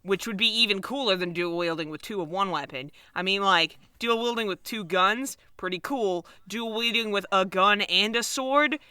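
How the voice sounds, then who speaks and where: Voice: medium pitch, moderate pace, hardly any pauses, bright, forceful tone, smooth, dry recording. A podcast co-host in casual comedic banter, playful and given to mock-serious exaggeration.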